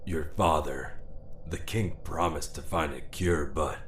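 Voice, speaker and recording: An actor playing a broken, guilt-ridden father turned assassin, halting and pleading in a fantasy audio drama. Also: a bass voice. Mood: weary remorse. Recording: roomy echo with faint noise.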